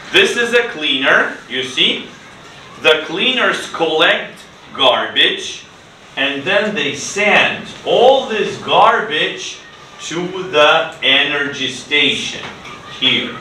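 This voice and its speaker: Middle-aged male teacher, slow and echoey. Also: on the high side, sharp tone. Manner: instructive, short prompts and repeated phrases for learners to echo. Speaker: English teacher. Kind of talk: classroom ESL lesson, questioning students. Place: classroom, standing at the board. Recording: echoey noisy classroom, distant mic.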